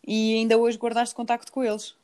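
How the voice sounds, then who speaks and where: A woman's voice: on the high side, monotone, a little fast, a little mellow. A woman from Switzerland hosting a podcast interview in a chatty, conversational way. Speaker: podcast host.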